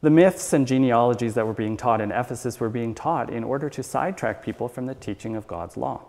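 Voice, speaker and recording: Echoing church hall, middle-aged man, mid-pitched voice, formal measured sermon reading, lectern delivery. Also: darkish tone. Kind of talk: sermon read from a script. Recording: long church hall reverb.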